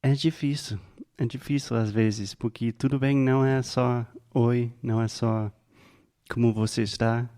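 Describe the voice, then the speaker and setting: A man who learned the language himself co-hosts a relaxed teaching chat. His mid-range voice is mellow and warm. He asks measured questions and slows down on the phrases that matter. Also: medium pitch. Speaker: podcast co-host and Portuguese learner.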